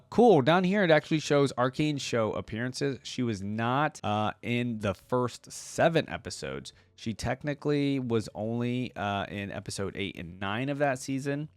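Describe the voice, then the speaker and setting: A man's voice: on the low side, expressive, a little slow, hardly any pauses, clear, resonant tone, dry recording. A man giving informal, casual commentary as the host of a reaction video, chatting directly to his viewers. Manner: informal, addresses viewers as guys.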